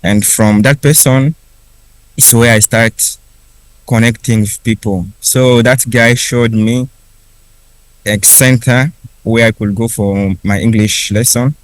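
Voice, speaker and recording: Young man, native Kiswahili speaker, unhurried testimony in English, mid-pitched, dry recording. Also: steady tone, no echo, low-quality recording. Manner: unhurried, with frequent restarts and pauses. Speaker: refugee speaker at a conference. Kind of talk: personal story told slowly.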